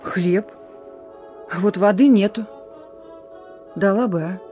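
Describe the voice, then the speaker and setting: A woman's voice: medium pitch, takes it slowly, dark tone, lightly acted. An actress playing a weary but defiant young woman messenger in a radio play, talking in a familiar, needling way. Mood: impatient, tired. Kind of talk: Russian radio play acting. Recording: radio drama with music.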